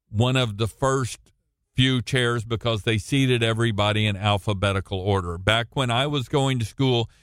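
A man preaching a sermon and reading scripture into a computer microphone. He has a booming voice and speaks deliberately, with frequent ums. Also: a bit bright, clean tone, dry recording, studio quality. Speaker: pastor.

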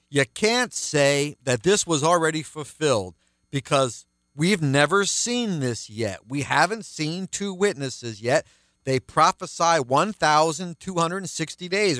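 A man with a deep baritone voice, teaching the Bible on his radio program in an earnest, explanatory way. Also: a bit bright, clear, resonant tone, no echo, clean studio sound. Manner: earnest, explanatory, counts things out.

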